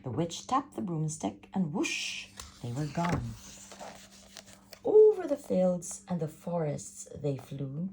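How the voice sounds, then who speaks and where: A woman reads a rhyming children's story aloud, slowly and rhythmically. Her pitch dances widely across each line, while her lowish voice carries a gravelly fry. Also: a little hiss or hum, poor audio. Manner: expressive, rhythmic rhyming delivery. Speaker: parent reading a picture book.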